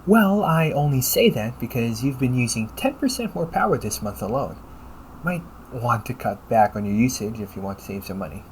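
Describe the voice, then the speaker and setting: Man acting as a lively AI caregiver companion, audible background noise. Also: mid-range voice, moderate pace, lightly acted, poor audio. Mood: helpful, chatty. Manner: polite, chirpy, gently nagging, butler-like phrasing. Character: upbeat, teasing male AI caregiver companion, fussy and helpful. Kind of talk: scripted audio roleplay addressed to the listener.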